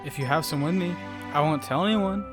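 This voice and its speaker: A man playing a cheeky, teasing male friend in an audio drama, slangy and excitable. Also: fairly high pitch, a little fast, natural voice. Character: Jackson, cheeky teasing young male buddy, always hungry. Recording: loud music under the voice. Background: music.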